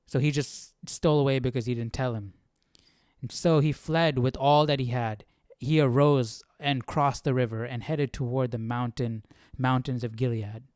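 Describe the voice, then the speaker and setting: Guy hosting bible study, chatty, thinking out loud, smooth voice, dry close sound. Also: mid-range voice, steady tone, moderate pace, no echo. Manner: conversational, thinking aloud. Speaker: host of a live Bible study. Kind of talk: casual reading aloud and commentary.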